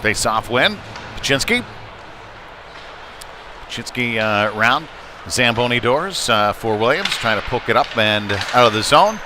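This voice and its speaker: A man's voice: mid-range voice, animated, measured pace, pauses often. Man calling hockey over rink noise. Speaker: ice hockey play-by-play commentator. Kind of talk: live sports commentary. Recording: clear background noise, live rink ambience. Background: ambient sports venue noise.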